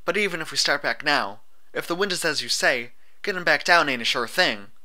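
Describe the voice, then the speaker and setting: A man playing a young female storybook pony, blunt and world-weary. The voice is lifted above his own and has a bright, forceful edge, with folksy, drawled colloquial phrasing. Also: fairly high pitch, lively intonation, fairly quick, pitched above the performer's own voice. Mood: exasperated.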